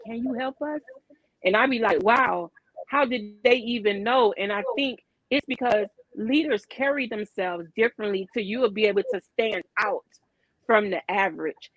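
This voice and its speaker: A woman's voice: on the high side, no echo. A woman guest telling her story in an earnest, motivational way in a casual podcast interview. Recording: dull, dry, lo-fi recording.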